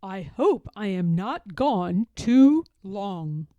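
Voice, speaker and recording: A woman's voice: medium pitch, very animated, drawn-out words, dark tone, dry recording, voice pushed down. A woman voicing a kindly, worried peddler man from a children's storybook, musing thoughtfully with emphatic phrasing. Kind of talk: children's storybook read-aloud.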